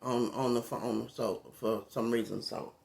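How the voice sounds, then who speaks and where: A man with a raspy voice, a content creator talking casually into his phone, relaxed and rambling with many fillers. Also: mid-range voice, unhurried. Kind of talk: casual talk to camera.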